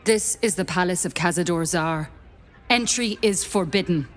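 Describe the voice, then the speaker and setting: A woman's voice: on the low side, moderate pace, faint music behind, lightly acted. A woman playing a blank, obedient charmed palace guard in a fantasy game, speaking without intent. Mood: blankly forbidding. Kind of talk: video game dialogue.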